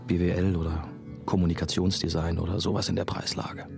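Very deep-voiced, deadpan young monk. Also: male voice, brisk, vocal fry, music in the background. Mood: dry, reflective. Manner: deadpan, laconic. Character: young male novice monk narrating his past, dry and sardonic. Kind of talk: first-person radio play narration.

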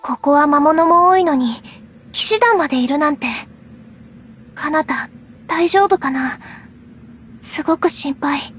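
A woman voicing a sweet, earnest young woman in an anime game, gentle and playfully deadpan. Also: high-pitched, a little slow, long pauses. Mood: worried. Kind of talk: Japanese anime game voice acting. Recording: clear background music.

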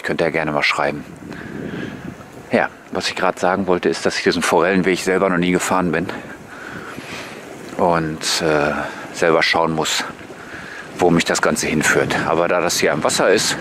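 A man giving relaxed, musing, casual commentary to viewers as a vlogger on a bike tour. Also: low voice. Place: outdoors on rural paths and waterside. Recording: noisy outdoor recording with passing vehicles.

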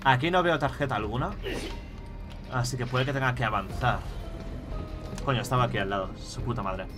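A man's voice: mid-range voice, fairly quick. Young male streamer from Spain, casual swearing play-by-play on a headset, game music running behind. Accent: Spain Spanish. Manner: swears freely, muttering to himself while playing. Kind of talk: casual gameplay commentary. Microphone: headset mic.

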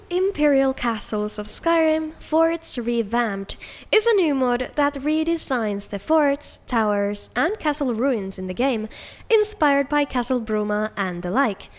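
A woman hosting a video, reading scripted narration in an upbeat, enthusiastic presenting manner. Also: high voice. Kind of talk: scripted narration of game mod showcase.

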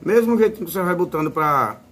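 A man's voice: high voice, expressive, fast, a bit bright, husky. A man with a Northeastern Brazilian accent giving a casual, informal hands-on tutorial as a fishing channel host. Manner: informal, fond of diminutives.

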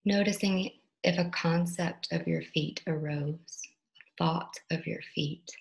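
A woman meditation teacher leading a guided meditation, speaking softly and gently in unhurried phrases with long pauses. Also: on the low side, steady tone, creaky, low-quality recording. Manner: gentle, instructional, soothing.

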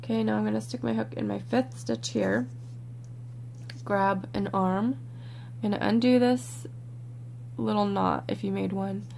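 A woman with a croaky, congested voice leads a step-by-step craft tutorial in a relaxed, chatty way, sometimes dropping to a near-whisper as she counts stitches. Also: mid-range voice, measured pace, long pauses, a little hiss or hum. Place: indoors at a worktable, overhead camera on hands.

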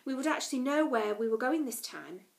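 A woman reading aloud and voicing Lila, a soft-spoken, proper young girl who sounds tense. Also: high-pitched, lively intonation, fairly quick, gravelly. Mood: gentle.

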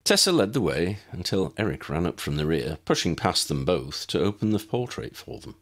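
A man's voice: low-pitched, big pitch swings, normal speed, fairly bright tone. A man reading a scripted story as an audiobook narrator.

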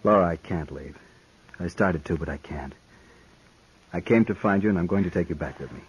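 A man playing a proper young Eastern gentleman in a 1950s radio drama, formal and pleading. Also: low voice, brisk, breathy edge, dull audio.

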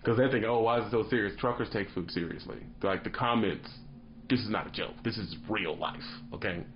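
A man's voice: on the low side, fairly quick, dull audio, slight background noise. A man in his thirties, a truck driver vlogging casually to camera in a small dim room, telling stories in slang and acting out little scenes. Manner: animated storytelling, slangy, acts out little scenes.

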